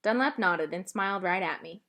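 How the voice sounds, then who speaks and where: A woman reading a children's novel aloud as an expressive storybook narrator. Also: fairly low pitch, moderate pace. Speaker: storybook reader.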